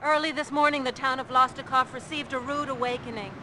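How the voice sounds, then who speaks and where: High-voiced serious female news correspondent. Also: fairly even intonation, bright, forceful tone. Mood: grave. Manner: measured, formal reporting. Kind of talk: scripted news report in a game. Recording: noisy outdoor report with vehicle sounds.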